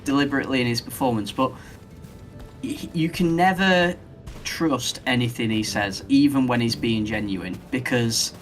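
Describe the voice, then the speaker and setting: A man of medium pitch hosts a relaxed podcast conversation. He rambles with enthusiasm and works through his thoughts aloud. Faint music plays underneath. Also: moderate pace. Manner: rambling, enthusiastic, thinks out loud. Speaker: podcast host. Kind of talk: casual podcast chat.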